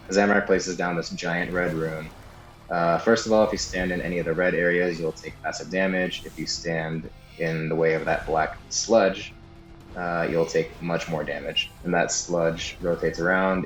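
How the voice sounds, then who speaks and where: A man with a low voice talks through a video game walkthrough in a casual, explanatory way. He hedges on details as he goes. The room sounds slightly echoey, with soft music underneath. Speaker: guide narrator.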